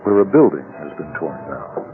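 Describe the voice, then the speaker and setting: A man's voice: very deep voice, very animated, normal speed. A man narrating a radio drama in a dramatic, scripted style. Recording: narrow-band old radio recording.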